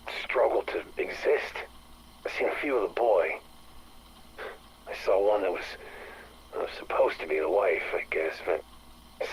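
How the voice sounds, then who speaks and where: An actor playing a distressed maintenance director confessing into a game audio log, halting, stammering and bitter. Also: male voice, on the low side. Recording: faintly noisy recorded audio log.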